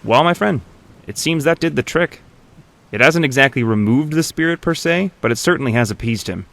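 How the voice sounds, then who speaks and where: An actor playing a reassuring, courteous priest in a fantasy game, speaking in a measured, formal, genial way. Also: male voice, medium pitch, lively intonation, gravelly, a bit bright. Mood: calm, satisfied. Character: reassuring, courteous male priest tending the dead.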